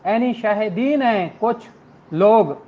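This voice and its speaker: A man's voice: high-pitched, animated, slow pace, phone-quality sound. A man with a Pakistani accent lecturing law students in an earnest, rhetorical way, repeating phrases for emphasis.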